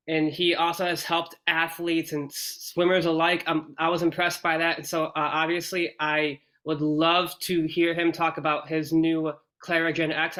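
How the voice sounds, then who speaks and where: Bright, eager podcast host. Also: male voice, a little high. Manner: upbeat, enthusiastic host addressing listeners. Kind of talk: podcast introduction.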